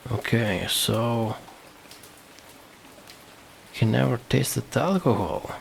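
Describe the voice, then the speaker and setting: A man who streams games, reading on-screen text aloud in a halting way and commenting on it. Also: mid-range voice, lively intonation, vocal fry, slight background noise. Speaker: game streamer.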